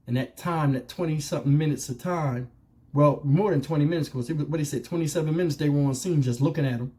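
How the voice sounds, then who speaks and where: A man's voice: fairly high pitch, quick pace, warm tone. A man giving casual opinion commentary as a channel host, speaking colloquially and conversationally with rhetorical questions.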